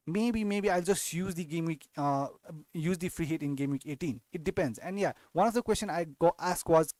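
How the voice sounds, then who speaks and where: A man's voice: on the high side, darkish tone, no room sound. Hesitant young man from Nepal. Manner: hesitant, many restarts and tag questions. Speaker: fantasy football channel host. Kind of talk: casual live-stream Q&A.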